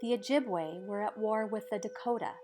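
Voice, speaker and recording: Woman reading a picture book story out loud, measured and expressive, pauses for drama, bit of music behind. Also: medium pitch. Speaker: storybook reader. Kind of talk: read-aloud storybook narration. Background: background music.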